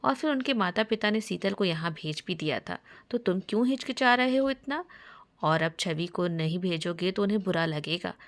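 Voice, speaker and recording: A woman's voice: fairly low pitch, lively intonation, normal speed. A woman voicing a kindly old grandfather who coaxes his family affectionately in a story. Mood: affectionate, persuasive. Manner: affectionate, coaxing.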